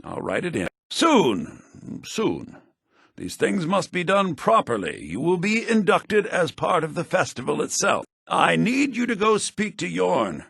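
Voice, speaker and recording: Earnest theatrical bard, husky and animated. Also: male voice, high-pitched, a little slow, no echo, clean studio sound, heavily acted. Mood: thoughtful. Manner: formal, theatrical, articulate. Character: earnest, theatrical male head bard of a fantasy college. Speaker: game character, bard college leader. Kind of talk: fantasy video game character dialogue.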